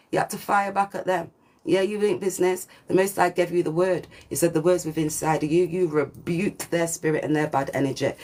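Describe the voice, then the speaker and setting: A woman with a deep voice giving a channelled spiritual reading, preachy and repetitive, with swearing and slang. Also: a little mellow. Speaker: spiritual reader. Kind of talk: channelled collective reading monologue.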